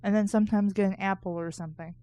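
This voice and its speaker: A woman's voice: medium pitch, fairly even intonation, quick pace, mellow. A woman casually reading a book aloud, with sarcastic, mildly crude asides and stumbles she corrects as she goes. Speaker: reader and reviewer of children's books.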